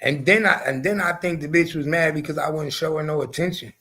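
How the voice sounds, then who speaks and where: A man's voice: a little high, brisk, slightly rough. A man, hosting a casual chat and telling stories bluntly and with lots of profanity. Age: 40s. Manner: blunt, profane, animated storytelling. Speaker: show host.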